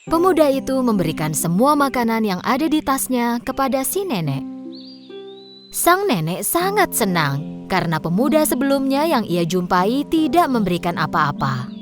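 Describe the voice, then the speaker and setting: Perempuan pendongeng yang ekspresif. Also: female voice, on the high side, normal speed, music in the background. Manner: expressive, lilting storytelling. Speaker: narrator. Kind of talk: scripted storybook narration.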